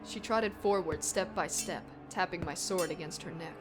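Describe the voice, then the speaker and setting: A woman's voice: on the low side, moderate pace. A woman giving an intense, theatrical first-person reading of a story. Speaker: amateur fan fiction reader. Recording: music bed behind the voice.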